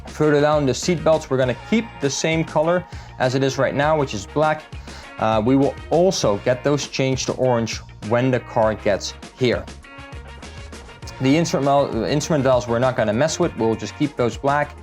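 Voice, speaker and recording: A man's voice: medium pitch, normal speed, darkish tone, faint music behind. A man from the Netherlands, talking through a car build in a casual, matter-of-fact vlog style as a salesman would.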